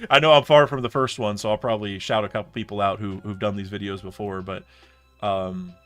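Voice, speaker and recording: A man's voice: lowish voice, steady tone, a little fast, a bit bright, faint music behind. A young man chatting casually on a live stream, thinking aloud in rambling sentences full of restarts and fillers. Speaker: streamer reacting to a comic chapter.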